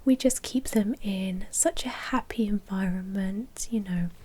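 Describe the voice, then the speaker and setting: Whispering woman in a soft ASMR roleplay. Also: medium pitch, measured pace, slightly rough. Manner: soft, hesitant, matter-of-fact whispering. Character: female vampire blood bank manager, polite and businesslike.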